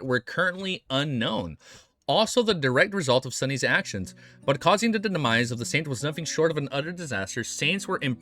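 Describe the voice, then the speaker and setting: A young man streaming a reaction, reading a novel aloud with excited, swearing outbursts, his voice a bit hoarse. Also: on the high side.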